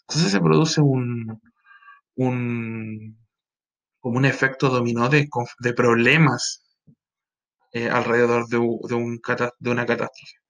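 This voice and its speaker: Chilean male teacher, gravelly mid-range voice, rambling lecture with lots of fillers and check-ins. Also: mid-range voice, steady tone, unhurried, pauses often, a bit bright. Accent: Chilean Spanish. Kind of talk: recorded class lecture.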